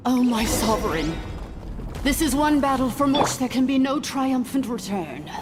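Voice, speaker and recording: A woman's voice: high-pitched, expressive, normal speed, music in the background. A woman playing a vengeful, grandiose fallen ruler in a video game, declaiming imperiously and theatrically. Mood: wrathful. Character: wrathful, grandiose fallen sovereign, female, vengeful and doomed.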